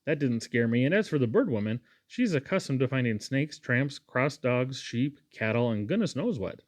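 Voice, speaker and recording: A man's voice: medium pitch, animated, normal speed, close to an everyday voice. A man voicing an eager, sweet, chatty young woman in a storybook, with gushing exclamations. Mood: lively, gushing.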